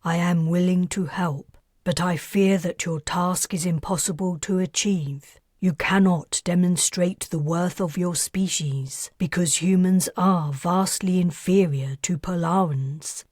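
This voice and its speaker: A woman voicing a calm, literal feathered alien who speaks in a flat, detached way. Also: lowish voice, measured pace, hardly any pauses, slightly rough, no echo, studio quality.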